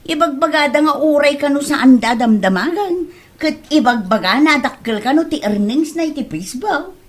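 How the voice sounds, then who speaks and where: A woman's voice: high voice, expressive, normal speed. A woman with an Ilocano accent playing an indignant wife passing on gossip in a comedy audio drama. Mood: gossipy. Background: faint music.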